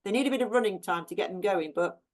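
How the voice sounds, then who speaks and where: A woman's voice: mid-range voice, fast. Chatty woman gardener, full of ums. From England. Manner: chatty and warm, with many ums and restarts. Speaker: professional gardener, guest. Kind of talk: casual chat about her plants.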